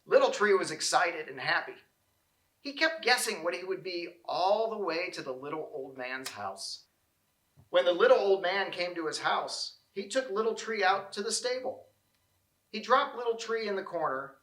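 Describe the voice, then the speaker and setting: A man reading a children's storybook aloud, with expressive and deliberate phrasing. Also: sits high, roomy sound. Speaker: storybook reader. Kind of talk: children's read-aloud narration.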